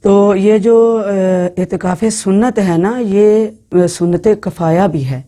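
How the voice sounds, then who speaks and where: A woman giving informal Islamic religious instruction to women, speaking in an instructive way with halting phrasing and repeated words. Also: medium pitch, warm tone. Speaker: religious teacher.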